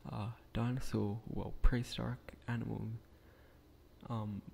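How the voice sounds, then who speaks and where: Quiet croaky young man, gravelly. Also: on the low side, little pitch movement, unhurried, a little hiss or hum. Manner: hesitant, rambling, with frequent restarts; speaking quietly at night. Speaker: gaming channel creator. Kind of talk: casual voice-over narrating a game build.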